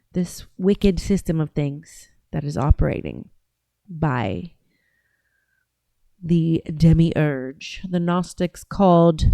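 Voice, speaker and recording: A woman's voice: sits low, gravelly, dark tone, dry recording, clean studio sound. A woman from the US South hosting a casual livestream, talking in a chatty, rambling way that often trails off and restarts. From Georgia, US South. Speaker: livestream host.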